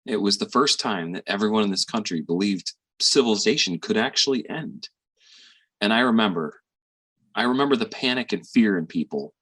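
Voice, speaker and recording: Actor playing a low-voiced, husky, tender doctor father. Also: lively intonation, a bit bright. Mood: somber, reflective. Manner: reflective, halting with sighs and pauses. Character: weary, tender middle-aged physician father consoling grown daughter. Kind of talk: dramatic stage monologue.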